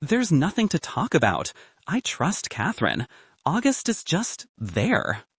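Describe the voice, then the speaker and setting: A man's voice: on the high side, huge pitch swings, measured pace, a bit bright, husky, dry recording, pitched above the performer's own voice, heavily acted. A man voicing a tense, principled young student and boyfriend in a narrated story, clipped, dry and defensive. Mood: defensive, stubborn.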